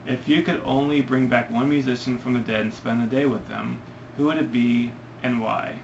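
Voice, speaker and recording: Young guy from Ohio doing a casual Q&A, rambling with ums; gravelly voice on a muffled, hissy recording. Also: medium pitch, fairly even intonation, moderate pace. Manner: hesitant, rambling with many ums. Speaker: vlogger answering questions. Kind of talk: casual Q&A vlog. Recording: lo-fi, noisy home recording.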